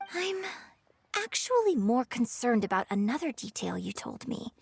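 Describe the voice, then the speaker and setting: A woman voicing a composed, shrewd and caring young woman in a video game, speaking in a measured, poised way. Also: a little high, slight background noise. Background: music.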